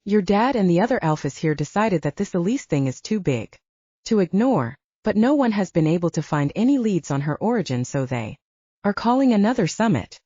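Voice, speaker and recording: A woman's voice: medium pitch, big pitch swings, fairly quick, mellow, slightly rough, no echo, dull audio. A woman voicing a loyal, brash female best friend, protective and encouraging, in a romance audiobook. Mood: eager. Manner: encouraging, blunt. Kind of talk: audiobook romance character acting.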